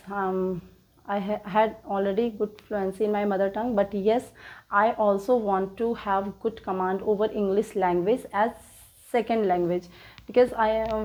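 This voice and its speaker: A woman from India, native in Hindi, with an Indian accent, hosting her own English-learning channel and talking slowly in learner's English, full of fillers and restarts. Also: mid-range voice, darkish tone, poor audio. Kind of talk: casual motivational talk to viewers.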